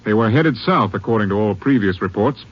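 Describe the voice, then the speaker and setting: A man acting a businesslike, commanding Texas Ranger captain in an old-time radio western, brisk and authoritative. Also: fairly low pitch, animated, breathy edge. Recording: dull old radio recording.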